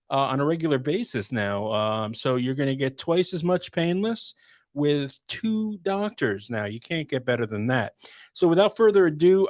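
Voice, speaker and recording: A man hosting a casual podcast, friendly and halting, with many fillers. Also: on the high side. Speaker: podcast host.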